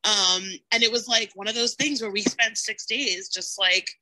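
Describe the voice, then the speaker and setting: Very bright, sharp-voiced woman chatting. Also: medium pitch, steady tone, normal speed, rough recording. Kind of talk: casual chat.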